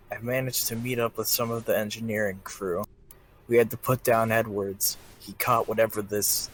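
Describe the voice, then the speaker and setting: A man's voice: lowish voice, a bit bright, poor audio. A man playing a weary, guarded starship chief engineer surviving a disaster in a sci-fi game roleplay, plain-spoken and grumbling. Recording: noticeable background noise and ambience.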